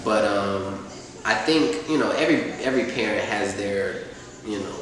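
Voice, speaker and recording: A man in his twenties from Atlanta, talking in a relaxed, laid-back way as a rapper being interviewed, often laughing and filling with "you know". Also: lowish voice, normal speed, crowd noise. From Atlanta, USA. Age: 20s. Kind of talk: casual interview chat. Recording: echoey distant room sound with faint noise.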